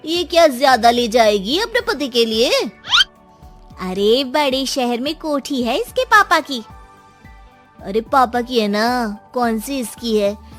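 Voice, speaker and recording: A woman's voice: a little high, animated, slow, fairly bright tone, soft background music. A woman voicing a gossipy teasing young friend. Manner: mocking, gossipy.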